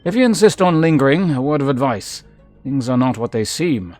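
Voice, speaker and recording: A man's voice: mid-range voice, animated, fairly quick, darkish tone, no room sound, soft background music, close to an everyday voice. Man playing a gloomy, humble castle servant. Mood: cautioning. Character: humble last servant of a duke, gloomy and cautioning. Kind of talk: fantasy game character dialogue.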